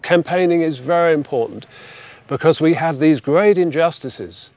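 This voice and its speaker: An older man, a retired campaigner, speaking in an earnest, measured way in a documentary interview. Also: on the high side, a little mellow. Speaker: debt campaigner.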